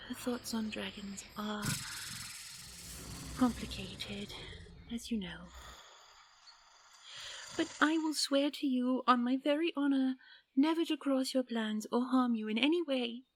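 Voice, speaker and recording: A woman's voice: on the high side, a little slow, pauses often, noisy background, lightly acted. A woman voicing a young fae in an audio roleplay, earnest and timid, with a hesitant, deferential, pleading manner.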